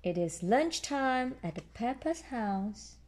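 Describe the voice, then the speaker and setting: Slow, lively woman reading a story. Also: fairly high pitch. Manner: explains simply and patiently to a child. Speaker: storyteller mother reading aloud to a child. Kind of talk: read-aloud children's bedtime story.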